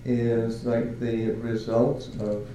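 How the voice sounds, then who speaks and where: An older man from Canada giving a Buddhist talk as a monk, halting and searching for words with many fillers and soft chuckles. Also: mid-range voice, level tone, heavy reverb, a little hiss or hum, poor audio. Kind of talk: dharma talk.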